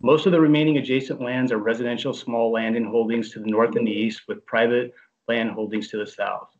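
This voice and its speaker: A man's voice: medium pitch, steady tone, fairly quick, darkish tone. A man on staff presenting a project briefing at a board meeting, reading formally from a script over a video call. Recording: dull lo-fi video call audio.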